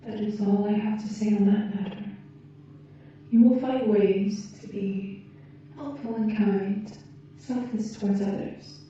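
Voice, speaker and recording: A woman playing a gentle, wise alien rescuer in an ASMR roleplay, speaking softly and earnestly in measured, slightly formal phrases. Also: medium pitch, close to an everyday voice. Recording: muffled, very echoey, distant, faint background noise.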